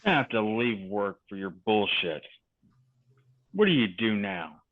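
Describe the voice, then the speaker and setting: A man's voice: fairly low pitch, big pitch swings, a little slow. A man reading the part of a harsh, scolding father in a table read over a video call. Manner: gruff, scolding. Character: stern harsh father of a teenage boy. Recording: tinny lo-fi video call audio.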